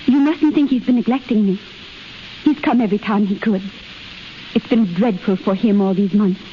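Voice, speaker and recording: A woman's voice: medium pitch, moderate pace, muffled recording, close to an everyday voice. An actress playing a frail, lovesick young woman invalid, plaintive and earnest, in a hissy 1930s radio drama. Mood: plaintive, weary.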